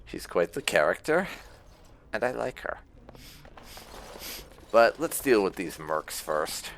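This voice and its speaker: A man's voice: on the low side, big pitch swings, takes it slowly, slight background noise. A man giving casual video game playthrough commentary, musing aloud as he goes. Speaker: gaming channel host. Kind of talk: casual playthrough commentary with role-played dialogue.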